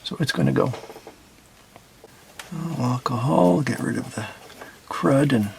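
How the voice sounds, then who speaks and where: Male repair host, gravelly low-key delivery, noisy bench recording. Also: unhurried. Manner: calm, step-by-step instructive. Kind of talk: narrated repair tutorial.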